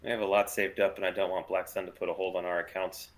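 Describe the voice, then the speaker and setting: A man's voice: deep voice, level tone, fast talker, natural voice. A man playing a guarded, self-doubting starship pilot in a sci-fi table read, understated and reserved. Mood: guarded, weary.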